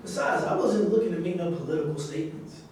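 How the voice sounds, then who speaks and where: A man's voice: fairly high pitch, fast, darkish tone, natural voice. A man acting in a live staged reading as a blunt, pragmatic character who challenges the others. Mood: challenging. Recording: very echoey distant room recording, faint noise.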